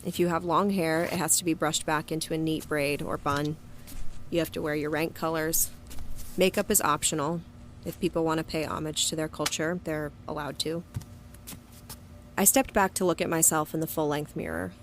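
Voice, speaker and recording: Casting: actress as tough, stubborn female agent for audio drama, lowish voice, faint ambience behind her. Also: fairly even intonation, normal speed, slight background noise. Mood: somber narration. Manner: blunt, terse, stubborn. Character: defiant, battle-hardened young woman agent narrating her story. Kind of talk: audio drama dialogue and first-person narration.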